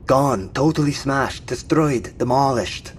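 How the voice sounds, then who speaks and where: An actor playing a gruff, wary British Royal Marines sergeant in an audio drama, slangy and commanding. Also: male voice, medium pitch, expressive, a little slow, slight background noise, close to an everyday voice. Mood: wary, curious.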